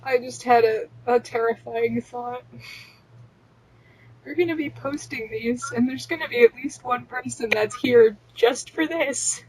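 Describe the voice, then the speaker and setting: A woman's voice: on the high side, a little slow, darkish tone, poor audio. A woman podcast host reading fan fiction aloud in an amateur, casual narration style.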